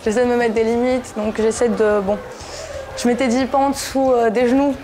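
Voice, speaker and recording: Hesitant young woman, flat voice. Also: a little high, a little fast, over music. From Portugal. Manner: hesitant, many fillers and restarts. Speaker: interviewee, tattoo shop piercer. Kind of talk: casual portrait interview.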